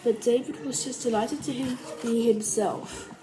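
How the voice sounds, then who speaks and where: A woman reading a children's biography aloud like a storybook, carefully and a little haltingly. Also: a little high, steady tone, music in the background, low-quality recording. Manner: careful, halting reading with stumbles and self-corrections. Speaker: storybook reader.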